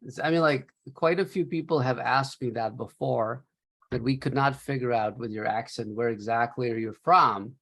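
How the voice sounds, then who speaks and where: A man's voice: medium pitch, moderate pace, creaky, a bit bright. A man with a Pakistani accent, a professor on a video call, patiently talking a student through tech trouble step by step. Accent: Pakistani English. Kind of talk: online class talk.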